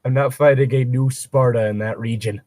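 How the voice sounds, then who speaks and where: A man's voice: mid-range voice, steady tone, fairly quick, mellow, no room sound. Guy riffing on a fanfic as he reads it, kinda wry, really dry close sound. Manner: wry, joking asides. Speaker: co-host of a fan fiction reading show. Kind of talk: casual riffing while reading fan fiction aloud.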